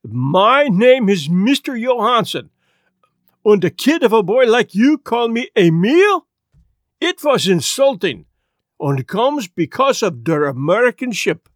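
A man's voice: high-pitched, sing-song, measured pace, no room sound, clean studio sound. A man voicing a boastful, touchy old Swedish sailor with a thick Swedish accent. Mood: indignant. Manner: thick put-on accent, blustering.

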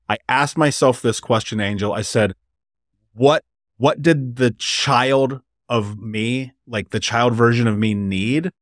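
A man's voice: mid-range voice, dry recording, studio quality. A man hosting a podcast interview, earnest and motivational, speaking directly to his listeners. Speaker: podcast host. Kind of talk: conversational interview.

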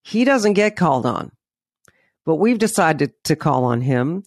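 A woman hosting a podcast interview, chatty and warm in manner, restarting her questions as she goes. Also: deep voice, big pitch swings, measured pace, no room sound, studio quality. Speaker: podcast host.

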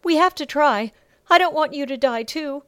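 A woman's voice: high voice, normal speed, a bit bright, no room sound, pitched above the performer's own voice. A woman voicing an anxious, tender-hearted young slave girl in an audiobook, urgent and tearful. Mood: pleading. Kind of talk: audiobook character acting.

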